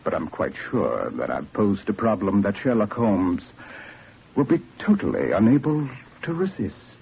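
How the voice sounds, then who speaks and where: An actor playing a smug, cold criminal mastermind, measured and condescending, in a 1940s radio drama. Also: male voice, fairly low pitch, sing-song, vocal fry, full character voice. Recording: dull, noisy old radio recording.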